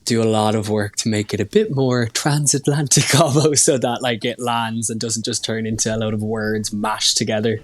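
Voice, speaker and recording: A man's voice: fairly low pitch, fairly even intonation, moderate pace, hardly any pauses, no echo. Irish man, thirty, chatty guest. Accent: softened Irish. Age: 30s. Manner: chatty, self-deprecating, many "like" fillers. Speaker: hairstylist guest. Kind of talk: casual interview chat.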